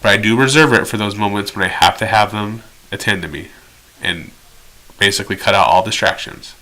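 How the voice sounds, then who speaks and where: A man's voice: lowish voice, brisk, sharp tone, low-quality recording. A man giving an explanatory training lecture as an instructor, direct and instructional with conversational asides. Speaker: instructor in behavior therapy training.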